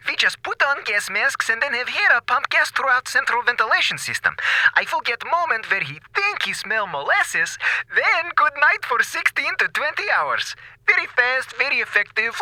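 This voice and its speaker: A man's voice: extremely high pitch, huge pitch swings, moderate pace, sharp tone, no room sound, exaggerated voice, poor audio. An actor playing an eccentric male space-station doctor who speaks clipped, broken English and is cheerfully clinical about harm. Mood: gleefully clinical. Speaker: ship's doctor. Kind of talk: audio drama acting.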